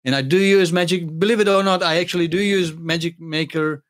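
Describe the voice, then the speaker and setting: A man's voice: fairly high pitch, steady tone, a little fast, fairly bright tone. A man with an accent, not born in Australia but living there for over thirty years, hosting a casual livestream Q&A and answering chat questions in a rambling way with many fillers. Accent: non-native English accent. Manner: rambling, many ums and restarts. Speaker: music channel host answering chat questions.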